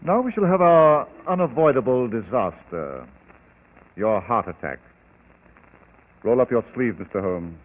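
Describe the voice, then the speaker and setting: A cold, menacing killer doctor. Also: male voice, medium pitch, expressive. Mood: coldly menacing. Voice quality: smooth, menacing, deliberate. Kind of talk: 1940s radio acting. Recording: tinny old radio broadcast with faint hiss.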